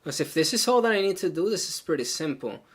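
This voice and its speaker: A man's voice: fairly high pitch, very animated, quick pace, a little hiss or hum. A man from Venezuela, a native Spanish speaker, chatting casually with viewers as he codes live, thinking aloud and filling his talk with "like" and "um". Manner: chatty and loose, full of "like" and "um", thinks aloud while coding. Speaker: live coding streamer.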